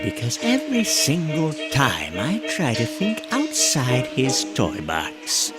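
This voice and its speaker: Crazy clown villain, slow taunting delivery, pitch leaping all over, music behind him. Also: male voice. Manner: theatrical, halting, swinging from hushed to shrieking. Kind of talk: motion comic voice acting. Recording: dramatic music under the voice.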